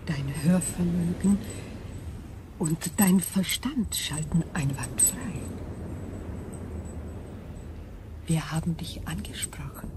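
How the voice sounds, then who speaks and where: An actress playing an ancient alien crystal being in a radio play, calm and coolly logical, speaking in measured, formal phrases. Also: female voice, on the low side, background noise. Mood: calm, eerie. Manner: measured, formal, precise diction. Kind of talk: German radio drama acting.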